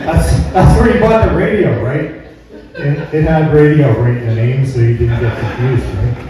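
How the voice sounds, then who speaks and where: A man's voice: on the high side, moderate pace. A middle-aged Canadian man doing a folksy, rambling stand-up comedy routine, often checking in with the crowd. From Alberta, Canada. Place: live comedy venue indoors. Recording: very echoey room, far from mic.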